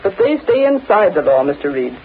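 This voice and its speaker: A woman playing a frank, concerned newspaper secretary in a vintage American radio drama, brisk and earnest. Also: medium pitch, very animated, husky. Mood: earnest, urging. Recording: narrow-band, noisy old radio recording.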